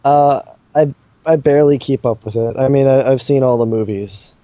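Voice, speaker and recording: A man's voice: mid-range voice, normal speed, warm tone, no room sound, highs cut off. A man in his twenties chatting casually as a podcast guest. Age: 20s. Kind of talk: casual chat.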